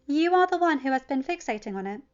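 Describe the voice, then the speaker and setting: Storybook role: earnest teenage boy, courteous, defiant, flat delivery, raised pitch, woman performing. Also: quick pace, lo-fi sound. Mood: deadpan defiance. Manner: neutral, deadpan.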